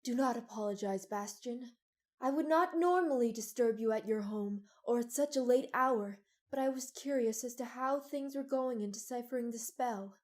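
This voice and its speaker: Casting: woman to play stately alicorn princess for audio story, high-pitched, measured, formal and serious, recorded very dry. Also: a little mellow, dry recording, lightly acted. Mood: courteous, concerned. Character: regal, grave adult female alicorn pony princess. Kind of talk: scripted audio drama acting.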